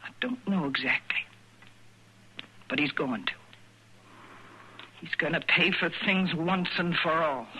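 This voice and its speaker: An American actress playing a bitter, defiant young daughter in a radio western, with intense, brooding delivery. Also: female voice, low-pitched, measured pace, pauses often, highs cut off. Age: young adult. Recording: noisy old 1950s radio broadcast recording.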